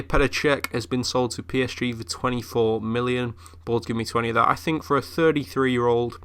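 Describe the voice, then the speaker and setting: A man's voice: mid-range voice, sharp tone, clear, resonant tone. A young man who is ill, chatting casually through game commentary with lots of fillers. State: ill, voice a bit off. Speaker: gaming YouTuber.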